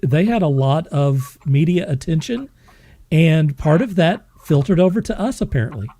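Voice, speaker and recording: A man's voice: a little high, expressive, moderate pace, mellow, no echo. A man hosting a podcast in casual, chatty banter. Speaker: podcast host.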